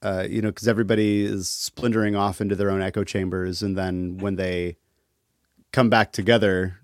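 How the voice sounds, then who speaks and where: A man talking casually as a podcast guest, thoughtful and halting, with a wry streak. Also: deep voice, little pitch movement, no echo, clean studio sound. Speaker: interview guest, musician.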